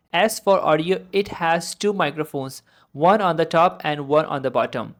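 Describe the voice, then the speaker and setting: Medium-pitched tech reviewer talking through a product.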